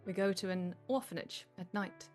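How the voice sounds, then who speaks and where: A woman's voice: mid-range voice, moderate pace, soft background music. A woman reading stage directions as the narrator of a live script table read.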